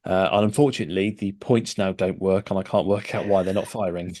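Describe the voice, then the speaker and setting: A man's voice: deep voice, fairly even intonation, a little fast, a little mellow. A middle-aged man hosting a casual podcast, chatty and hesitant, with many fillers and restarts. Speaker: podcast host.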